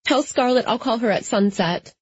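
A woman's voice: on the high side, normal speed, breathy edge, no echo, highs cut off, voice pushed up. A woman voicing a sassy, blunt young female vampire in an audiobook, with an emphatic, informal manner. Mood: scoffing.

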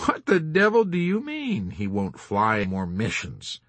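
A man's voice: fairly low pitch, huge pitch swings, unhurried, no echo, heavily acted. A man voicing a scornful, bossy army colonel with a mocking, commanding manner in an audiobook. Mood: amused scorn.

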